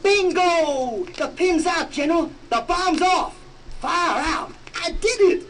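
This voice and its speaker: An actor playing a brave, streetwise young man with excitable, slangy speech in an audio drama. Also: very high-pitched, slow, full character voice. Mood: triumphant. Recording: faint noise behind.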